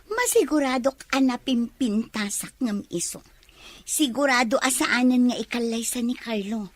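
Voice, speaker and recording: A Filipino-accented woman playing a vain, jealous, scheming young woman in a radio drama, gloating and expressive. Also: on the high side, moderate pace, no room sound.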